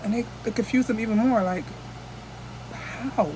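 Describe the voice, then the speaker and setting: A woman's voice: on the high side, normal speed, mellow, a little hiss or hum, low-quality recording. A woman from the United States chatting casually into her phone camera as a vlogger, rambling and restarting often.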